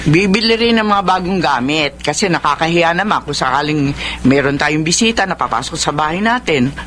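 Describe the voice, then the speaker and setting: Fretful demanding mother played by a woman, animated delivery. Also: sits low, moderate pace, a little hiss or hum. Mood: fretful, hinting. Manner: complaining, hinting, fussy. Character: fretful, demanding elderly mother of grown children. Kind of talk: radio drama acting in Filipino.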